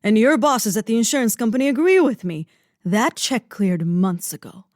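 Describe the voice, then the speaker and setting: Lady playing a rich dramatic widow in a radio noir, high voice, swoopy and swoony, pretty dry sound. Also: animated, normal speed, darkish tone, dry recording, close to an everyday voice. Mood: defensive, wistful. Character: wealthy melodramatic widow, sultry and self-pitying. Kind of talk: parody of old-time radio detective drama.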